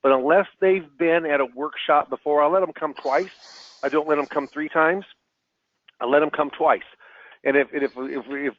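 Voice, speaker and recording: Halting man on a tinny phone line. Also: mid-range voice, no room sound. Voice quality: halting, repeats words often. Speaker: financial advisor guest. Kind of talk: webinar guest answering questions.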